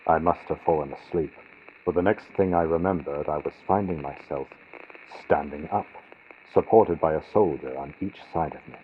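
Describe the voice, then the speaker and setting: A man's voice: very deep voice, moderate pace, muffled recording, slight background noise. A man narrating a gothic horror audiobook from a script, recorded on a built-in computer mic for a vintage radio sound. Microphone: built-in computer microphone.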